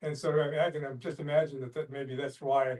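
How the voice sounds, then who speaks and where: A man in a casual group discussion, speaking haltingly as he searches for his words. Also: medium pitch, little pitch movement, darkish tone. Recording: group in room, distant mic.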